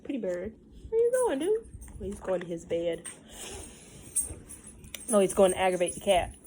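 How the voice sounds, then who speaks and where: A woman's voice: mid-range voice, huge pitch swings, normal speed, warm tone, a little hiss or hum. A woman with a Southern US accent, a teacher reading a picture book aloud to children in a sing-song way with folksy, casual asides.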